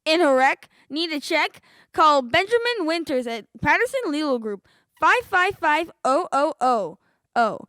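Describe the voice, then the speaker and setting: Young female radio co-host: high voice, scripted ad reads, dry sound. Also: very animated, unhurried, a bit bright, dry recording. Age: young adolescent, middle school student. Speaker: radio show co-host reading sponsor spots.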